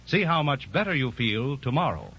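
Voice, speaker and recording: A muffled old radio recording of a male commercial announcer from the 1940s. He reads an earnest sales pitch at a medium pitch, with lively swings and careful enunciation. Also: normal speed, loud music behind the voice. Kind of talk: 1940s radio advertisement, scripted persuasive read.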